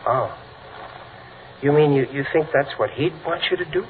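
A man's voice: fairly high pitch, normal speed, natural voice. An American actor playing a kindly, plain-spoken working man in a 1940s radio comedy, with a folksy, hesitant, earnest way of talking. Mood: earnest, gentle. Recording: tinny, band-limited old radio with faint noise.

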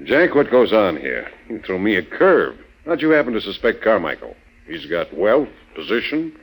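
An actor playing a gruff, questioning sheriff in a 1940s radio drama. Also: male voice, lowish voice, big pitch swings, moderate pace, rough recording. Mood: puzzled, brisk. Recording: vintage radio recording, faint noise.

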